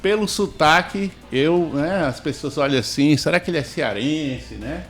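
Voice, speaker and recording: A man's voice: fairly high pitch, normal speed, faint music behind. A man hosting a casual church talk show, playful and teasing as he hypes up his guest. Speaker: host.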